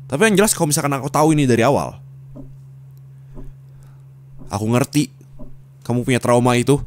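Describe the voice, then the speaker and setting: A young man voicing an angry, heartbroken young man who scolds his partner, swinging from shouting to low bitter muttering, in an ASMR roleplay. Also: fairly quick, slight background noise, close to an everyday voice. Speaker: roleplay voice actor.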